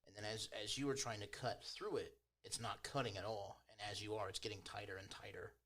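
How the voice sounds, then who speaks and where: A man's voice: fairly low pitch, a little fast, a bit bright, husky. A man working as game master, improvising scene narration in an informal, descriptive way.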